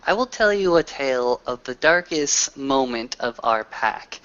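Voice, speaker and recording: A man's voice: medium pitch, expressive, measured pace, a bit bright, clean tone, dull audio. A man playing an earnest, grandiloquent werewolf storyteller with a formal, oratorical delivery in a tabletop roleplay. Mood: dramatic. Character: grandiloquent male werewolf storyteller, earnest, rallying his pack.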